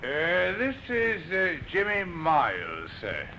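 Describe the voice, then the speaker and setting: A man's voice: a little high, huge pitch swings, takes it slowly, pushed and bright, a little hiss or hum, heavily acted. An actor playing a chatty village pub regular in an old-time radio drama, ruminating as he speaks. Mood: musing. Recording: muffled old radio, crowd babble behind.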